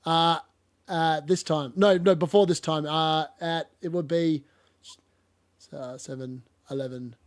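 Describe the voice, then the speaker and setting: A man's voice: on the high side, fairly even intonation, a little slow. Chatty man with a cough. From Australia. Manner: rambling, laughs often. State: lingering cough. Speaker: podcast host. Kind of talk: casual football chat.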